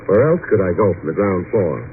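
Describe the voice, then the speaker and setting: Wry, low-voiced hard-boiled radio detective. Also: male voice, fairly quick, background noise. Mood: bantering. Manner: wry, deadpan. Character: wry, hard-boiled male private detective narrating his case. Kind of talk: old-time radio noir narration and dialogue. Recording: tinny old radio recording.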